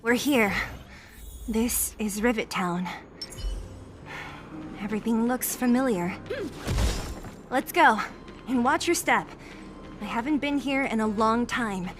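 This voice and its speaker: A woman's voice: a little high, takes it slowly, long pauses, over music. An actress voicing a brash, headstrong young woman fighter in a video game, talking with cocky impatience. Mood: determined. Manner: cocky, dismissive of rules.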